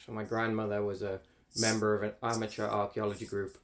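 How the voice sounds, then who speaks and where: A man's voice: lowish voice, flat delivery, brisk. A man, talking casually as an interview guest in a rambling, self-correcting way. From northeast England. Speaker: climate researcher guest.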